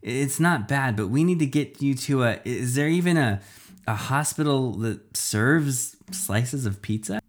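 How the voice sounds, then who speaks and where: A man's voice: mid-range voice, expressive, measured pace, pitched above the performer's own voice, natural voice. A man voicing a brave, urgent female sidekick in a storybook adventure. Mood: concerned.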